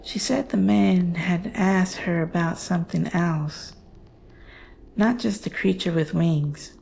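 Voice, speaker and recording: A woman playing a haunted, fearful witness, telling a horror story in a hushed, halting, deliberate way. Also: on the low side, vocal fry, a little hiss or hum. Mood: haunted, uneasy. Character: haunted, fearful woman recounting her mother's terrifying secret. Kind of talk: slow dramatic horror storytelling.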